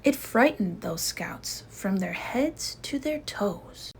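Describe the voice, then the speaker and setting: A woman reading a children's storybook aloud in an expressive, suspenseful storytelling manner. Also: medium pitch, slow, gravelly, slight background noise.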